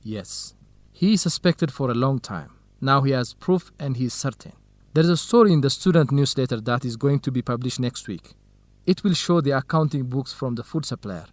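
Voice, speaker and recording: A male actor plays a gentle young academic, a loyal and supportive friend. His voice is mid-pitched and recorded dry. The delivery is measured, careful radio drama dialogue with clear diction. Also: expressive, no echo, close to an everyday voice. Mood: apologetic.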